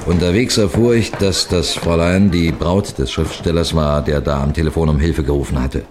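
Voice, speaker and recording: A man playing a seasoned, composed police detective who narrates a 1960s radio crime drama in a measured, precise, formal way. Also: rumbling low, noisy background. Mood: grave, suspenseful. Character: seasoned male police detective telling his cases, composed, authoritative.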